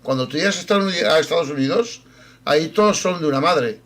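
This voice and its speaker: A man from Spain argues his point in a video-call debate. He speaks in a firm, declarative, lecturing way. His voice sits slightly higher than most men's. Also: quick pace, fairly bright tone. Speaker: debate participant.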